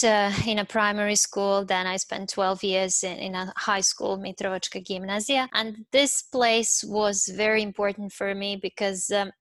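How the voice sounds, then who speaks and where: A woman's voice: mid-range voice, fairly bright tone, no room sound. A middle-aged woman with a Serbian accent, giving reflective interview answers and often searching for her words. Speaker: interview guest, university professor.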